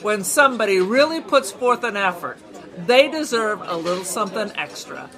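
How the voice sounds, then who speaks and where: A woman's voice: medium pitch, lively intonation, moderate pace, natural voice. A woman playing an opinionated, stubborn small-time crook in an audio drama, speaking bluntly. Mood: defiant, argumentative. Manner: argumentative, blunt. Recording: busy crowd chatter behind.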